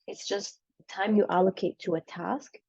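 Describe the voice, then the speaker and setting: A young woman in her twenties talks through a presentation in a casual, frank way, poking fun at herself. Her voice is deep in vocal fry and mellow, a little husky from the tail end of a flu. The recording is dry and dull. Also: steady tone, normal speed, no echo. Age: 20s. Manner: conversational, frank, self-deprecating. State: recovering from flu, voice slightly off. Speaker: medical student presenting a talk.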